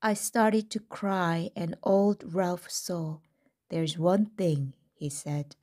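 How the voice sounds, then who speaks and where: A woman reading a first-person story aloud in a gentle, deliberate manner. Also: on the low side, fairly even intonation.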